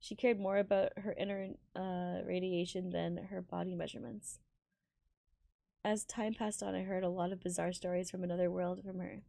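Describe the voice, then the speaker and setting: Donna che legge, suono asciutto e ravvicinato. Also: female voice, on the low side, level tone, gravelly, mellow, dry recording. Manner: giggly, halting read-aloud with stumbles. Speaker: game streamer. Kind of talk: live stream read-aloud of a visual novel.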